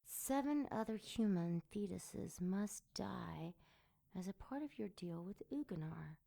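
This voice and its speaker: A woman playing a sardonic, seductive succubus demon in an audio drama, sultry and mocking in a deliberate way. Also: lowish voice, expressive, creaky, warm tone, exaggerated voice.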